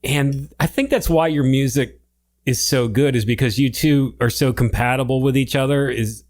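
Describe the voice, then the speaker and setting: An American man hosts a podcast interview in an easy, chatty way. His voice sits at a middle pitch, and he laughs often. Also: moderate pace, darkish tone. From Connecticut, USA. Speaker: podcast host. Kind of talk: casual interview chat.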